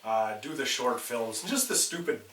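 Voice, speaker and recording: A man's voice: medium pitch, lively intonation, normal speed, fairly bright tone, roomy sound, far from the mic, slight background noise. A man co-hosting a casual horror podcast, talking with a nasal stuffiness from a cold and swearing freely between restarts. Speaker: podcast co-host. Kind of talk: casual chat.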